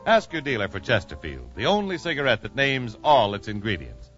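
A man's voice: mid-range voice, wildly swooping pitch, fairly quick, fairly bright tone. A man announcing a 1950s radio show and reading sponsor messages in a formal, showy way. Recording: muffled vintage radio recording. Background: music.

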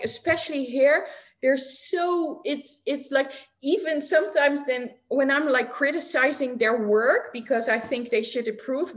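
A woman in her forties, a writing teacher talking casually as a guest, chatty with many fillers and light laughs. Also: high-pitched. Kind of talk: casual interview chat.

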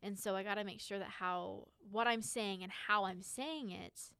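A woman's voice: medium pitch, fairly even intonation, normal speed, creaky, pushed and bright, clean tone, dry recording. A young woman in college talking eagerly and earnestly in a casual podcast chat. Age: young adult. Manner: enthusiastic, talkative, earnest.

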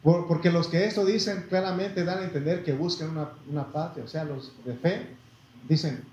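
A man's voice: a little high, normal speed, darkish tone, slight background noise. A man preaching a sermon with a Mexican Spanish accent, reading Bible verses and speaking folksily to his listeners as brother. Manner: folksy, addresses listeners as brother, asks for amens. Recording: echoey room, slightly muffled.

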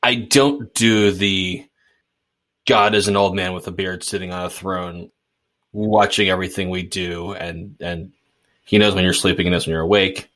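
Deep-voiced, thoughtful, hesitant man. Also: steady tone, normal speed, a bit bright. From suburban Boston. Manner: thoughtful, hesitant, restarts often. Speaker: podcast co-host. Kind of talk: casual discussion.

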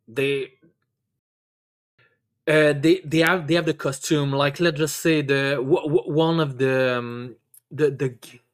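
A casual podcast host with a slow, halting delivery. Words get repeated and stammered as the host enthuses, with emphatic, excitable swearing thrown in. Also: medium pitch. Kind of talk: casual chat, enthusiastic review.

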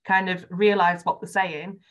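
A woman's voice: lowish voice, fairly even intonation, measured pace. A woman with a Yorkshire accent, speaking frankly and earnestly as a podcast guest in a conversational interview, with frequent ums. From Yorkshire, England.

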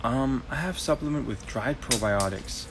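A man's voice: medium pitch, moderate pace, a little hiss or hum. A young man from Germany, hosting a casual live Q&A and explaining things loosely with many ums and restarts. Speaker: host. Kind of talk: casual live Q&A, answering viewer questions.

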